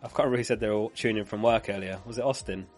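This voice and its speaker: A man's voice: on the low side, steady tone, racing, faint music behind. A man who is losing his voice, hosting a live fantasy football stream in a chatty way, reading out viewer comments and laughing along.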